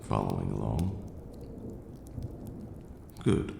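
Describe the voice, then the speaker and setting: A man playing a stern, aloof vampire lord in an ASMR roleplay, speaking in a formal, haughty way with a gravelly, breathy near-whisper. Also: extremely low pitch, big pitch swings, heavily acted. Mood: patient, instructive. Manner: formal, haughty, slow scolding lecturing delivery. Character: stern aloof ancient male vampire lord, grudgingly caring. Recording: roomy echo, clear background noise.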